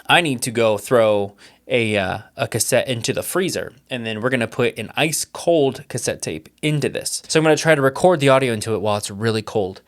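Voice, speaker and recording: A young man talking casually to camera in a mid-range voice, full of quick reactions and filler words. He sounds keen and curious, narrating each step of his own studio experiment. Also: medium pitch. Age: young adult. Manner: enthusiastic, thinks aloud, many "like"s and fillers. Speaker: music producer testing cassette tape. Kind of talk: casual vlog commentary.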